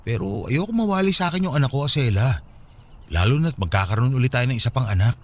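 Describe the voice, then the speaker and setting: A man's voice: medium pitch, lively intonation, fast, close to an everyday voice. A man with a Filipino accent playing a remorseful, earnest absent father and husband in a radio drama. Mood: pleading.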